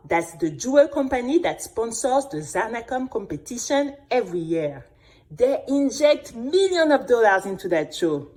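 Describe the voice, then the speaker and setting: A woman playing an earnest, resilient young singing contestant, narrating her own story in an expressive, deliberate, dramatic way. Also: high voice. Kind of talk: scripted dramatic first-person storytelling.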